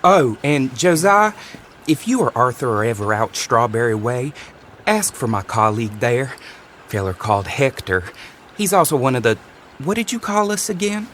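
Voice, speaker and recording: An actor playing a friendly, folksy clerk with a rural American accent in a video game, amiable in manner. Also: male voice, medium pitch, expressive, normal speed, a bit bright, a little hiss or hum, lightly acted. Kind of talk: dramatic video game acting.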